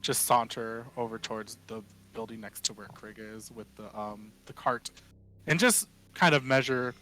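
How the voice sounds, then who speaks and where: Male game player, medium pitch, casual and tentative, working through his character's moves aloud. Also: normal speed, pushed and bright, rough recording. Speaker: player in a tabletop role-playing game. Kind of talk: casual game talk, describing a character's actions.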